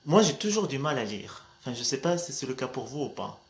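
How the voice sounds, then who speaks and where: Un jeune homme à l'marocain, dont l'arabe est la langue maternelle, qui parle en vlog motivant et décontracté, hésite souvent et répète « voilà ». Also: male voice, medium pitch, very animated, brisk, slight background noise. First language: Arabic. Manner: hesitant, restarts often, says "voilà" a lot, laughs between points. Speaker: vlogger.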